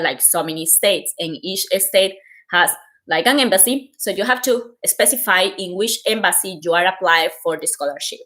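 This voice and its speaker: A woman with a Costa Rican Spanish accent talks through advice in an informal livestream style. Her voice sits at a medium pitch. She explains step by step, stressing important points and often dropping in "like" as she goes. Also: a bit bright. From Costa Rica. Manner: explanatory, repeats and stresses key points, frequent "like". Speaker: host giving application advice.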